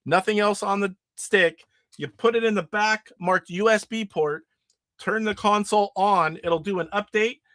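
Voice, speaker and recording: A man's voice: high-pitched, moderate pace, fairly bright tone, no echo. A middle-aged man hosting a casual live stream about retro games, sick and nasally with his voice starting to go. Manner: rambling, demonstrating games live. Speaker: retro gaming show host.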